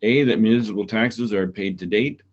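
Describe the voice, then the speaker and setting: A man chairing a township committee meeting, calling on members and reading a formal resolution aloud in a measured way. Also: fairly low pitch, lo-fi sound.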